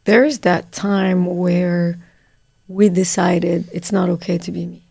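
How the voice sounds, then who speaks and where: A woman hosting a casual podcast conversation, gently drawing her guest out with questions. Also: on the low side, steady tone, slow pace, vocal fry, slightly rough, no room sound, clean studio sound. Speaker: podcast host, coach.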